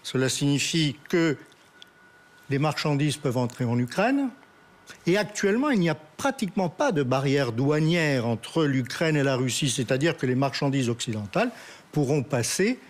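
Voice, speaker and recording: A man giving unscripted political commentary as a guest analyst, halting and repeating himself as he searches for words. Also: on the high side, big pitch swings.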